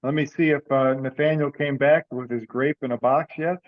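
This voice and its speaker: A man giving an informal, unscripted update as he presents at an online meeting, often halting and thinking aloud. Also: mid-range voice, fairly even intonation, dry recording. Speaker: online meeting presenter for an amateur radio group. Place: dry room, on a video call. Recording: dull, lo-fi video-call audio.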